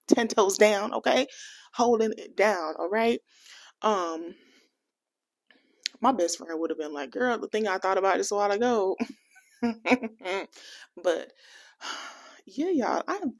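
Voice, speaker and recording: An American woman chatting casually in a budgeting vlog, animated and playful, laughing often and dropping into a Jamaican accent for bits. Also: fairly low pitch. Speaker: budgeting vlogger.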